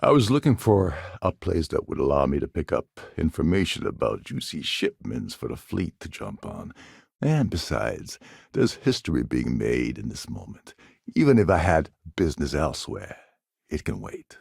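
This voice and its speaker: A roguish space captain with a pirate past for a video game. His voice is low and husky, with very lively swings in pitch. He talks like a sardonic, smooth schemer. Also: moderate pace, no echo, studio quality, exaggerated voice. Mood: matter-of-fact. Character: roguish, wry male starship captain and former pirate. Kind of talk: video game character dialogue.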